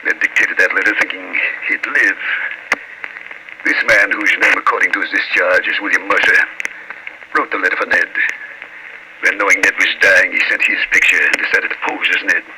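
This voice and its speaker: A man playing a grave, genteel older gentleman, shaken but dignified, in 1940s radio style. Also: sits low, fairly even intonation, moderate pace. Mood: shaken, sorrowful. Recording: noisy, hissy old radio broadcast.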